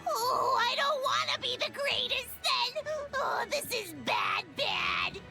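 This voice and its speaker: A woman voicing a small, excitable male spirit servant in a video game, squeaky and childlike, melodramatic and whiny. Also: takes it slowly, over music, heavily acted. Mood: panicked. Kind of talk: cartoonish video game acting.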